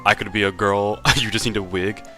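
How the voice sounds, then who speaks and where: A man's voice: on the low side, steady tone, moderate pace, sharp tone, faint music behind. A young man drawing live on stream, chatting casually with viewers, stammering and repeating words, laughing often.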